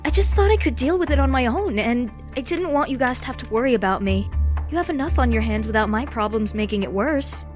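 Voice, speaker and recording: A woman playing a bright, earnest young adult daughter, speaking conversationally in a comic audio drama. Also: a little high, animated, brisk, soft background music, close to an everyday voice. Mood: apologetic.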